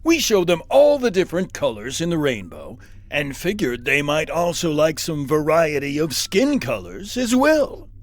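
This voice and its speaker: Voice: medium pitch, big pitch swings, moderate pace, lightly acted. An actor playing a grand, commanding and breezily optimistic alien supervisor in a sci-fi satire audio play. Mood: breezy, confident. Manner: pompous, authoritative.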